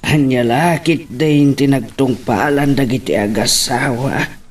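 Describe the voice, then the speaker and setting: Family elder, male actor: old, mild, very unhurried delivery, Ilocano accent, radio drama, faint noise behind. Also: medium pitch, close to an everyday voice. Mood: reflective. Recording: noticeable background noise.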